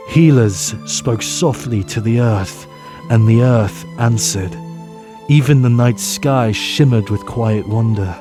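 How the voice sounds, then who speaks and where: Low voiced guy narrating a fantasy story, solemn n slow with big pauses, music behind. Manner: measured, solemn, dramatic pauses.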